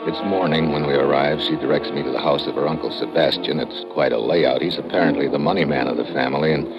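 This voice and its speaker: An actor playing a tough, dogged American investigator in an old radio drama, talking and narrating in a clipped, slangy way. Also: male voice, very deep voice, steady tone, fast, over loud music. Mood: terse narration. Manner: clipped, slangy, hard-boiled. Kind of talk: 1950s radio drama acting with first-person narration. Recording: muffled, noisy old radio recording.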